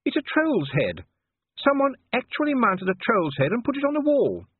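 A man's voice: very high-pitched, wildly swooping pitch, moderate pace, slightly rough, no echo, pitched above the performer's own voice, exaggerated voice. Genteel noblewoman, read by a male narrator; plummy, kindly, tinny lo-fi sound. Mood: appalled. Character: aristocratic middle-aged lady, kindly and proper. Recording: tinny, phone-like, dry.

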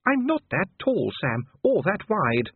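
A man's voice: sits high, big pitch swings, measured pace, breathy edge, no echo, pitched above the performer's own voice, exaggerated voice. Genteel noblewoman, read by a male narrator; plummy, kindly, tinny lo-fi sound. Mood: flustered. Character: aristocratic middle-aged lady, kindly and proper. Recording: tinny, phone-like, dry.

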